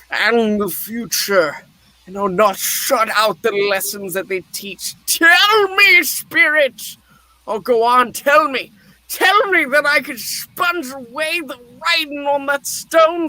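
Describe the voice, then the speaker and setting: An actor playing a frightened, remorseful old miser in a theatrical radio play reading, with dramatic, halting, pleading delivery. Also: sits high, pushed and bright, no echo, exaggerated voice, rough recording. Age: older.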